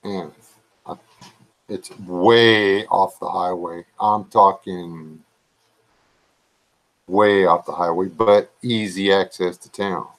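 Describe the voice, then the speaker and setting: Slow, drawling low-voiced man. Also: steady tone, fairly bright tone, low-quality recording. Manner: slow, drawling, low-key voice that viewers call relaxing or boring. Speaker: live stream host chatting with viewers. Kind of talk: rambling casual live chat.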